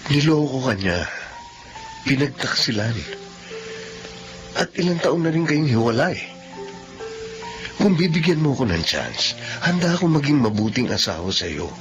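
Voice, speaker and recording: A man playing a smooth-talking young suitor. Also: medium pitch, big pitch swings, a little slow, long pauses, dull audio, over music. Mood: courting. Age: young adult. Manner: persuasive, mixes in English phrases. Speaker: suitor, later husband. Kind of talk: Filipino radio-style audio drama.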